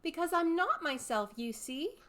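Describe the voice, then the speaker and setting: A young storybook girl, played by a woman, speaks in a high, lifted voice. She is courteous and earnest, hesitant but ready to stand up for herself. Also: very animated, a little slow, pushed and bright, full character voice. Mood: polite, confused.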